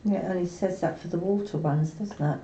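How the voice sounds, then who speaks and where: A woman's voice: on the low side, brisk, warm tone. A woman playing a board game, chatting casually with the others at the table. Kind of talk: casual chat. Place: indoor room around a game table. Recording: roomy, muffled, clearly noisy room recording.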